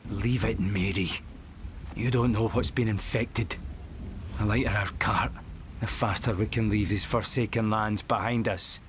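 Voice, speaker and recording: Low-voiced villager husband, gentle but firm, acted. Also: male voice, fairly even intonation, a little slow, slight background noise, natural voice. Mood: urgent, reassuring. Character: anxious, caring villager husband fleeing cursed land. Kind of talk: dramatic video game dialogue.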